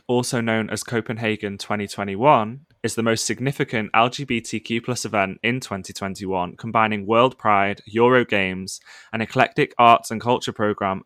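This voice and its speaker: A man co-hosting a podcast, reading a measured, informative scripted segment. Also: on the low side, a bit bright, no echo, clean studio sound. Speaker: podcast co-host. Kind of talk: scripted narration.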